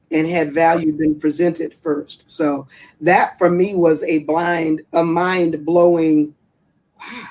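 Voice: mid-range voice, a little mellow. A public speaker and trainer talking as a podcast guest over a remote call, earnest and repeating key phrases for emphasis. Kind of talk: casual interview chat.

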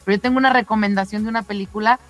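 A woman's voice: mid-range voice, fairly even intonation, very fast. A woman chatting casually as a radio co-host. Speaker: talk show co-host.

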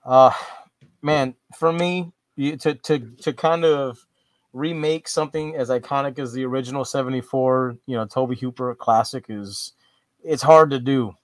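Male co-host, mid-pitched, rambly, swears casually, dry close sound. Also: normal speed, dry recording. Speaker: podcast co-host. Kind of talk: casual movie review chat.

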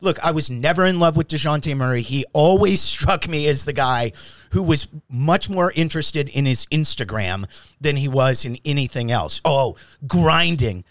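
A man's voice: fairly high pitch, lively intonation, normal speed, no echo. A man co-hosting a casual sports podcast, arguing basketball bluntly and emphatically with firm opinions.

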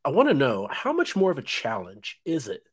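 A man's voice: mid-range voice, wildly swooping pitch, fairly quick, husky. Man in his fifties hosting, rambling. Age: 50s. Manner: rambling, many fillers and restarts. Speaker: podcast host. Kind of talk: casual interview chat.

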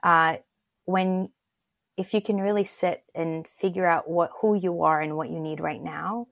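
A woman's voice: fairly low pitch, steady tone, unhurried, creaky, a bit bright, no room sound, clean studio sound. A woman from Turkey, a guest on an interview show, speaking in a reflective and encouraging way. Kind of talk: casual interview talk.

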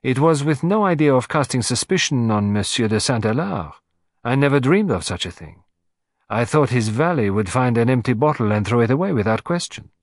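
A man's voice: mid-range voice, big pitch swings, fairly quick, no echo. A frail, dying old woman, voiced by a man, speaks with proud, formal dignity. Her voice is raised a little above the reader's own. The recording is close and dry. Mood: firm.

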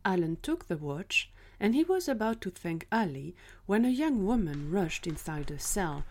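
A woman's voice: lowish voice, very animated, moderate pace, darkish tone. A woman reading scripted third-person narration for an audio drama.

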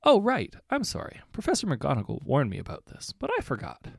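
A man voicing a cheerful, eager young witch girl who sounds bright and a little breathless. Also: mid-range voice, wildly swooping pitch, fairly quick, creaky, dry recording, studio quality, voice pushed up, full character voice. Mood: apologetic.

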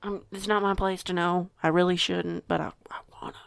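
Teenage werewolf girl, played by a woman: gravelly creak, slightly low, chatty and hesitant, stubborn but bookish, casual audio-drama delivery. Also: little pitch movement, a little fast, dry recording, studio quality. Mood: nosy, eager. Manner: chatty, rambling, hesitant, self-correcting. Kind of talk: audio roleplay acting, casual conversation.

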